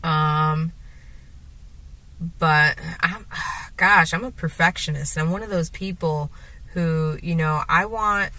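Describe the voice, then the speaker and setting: A woman with a sore throat and a gravelly, deeper voice, rambling hesitantly to the camera from her car, with many fillers and restarts. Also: slow, pauses often, sharp tone, rough recording. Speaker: vlogger. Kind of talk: rambling casual monologue while driving.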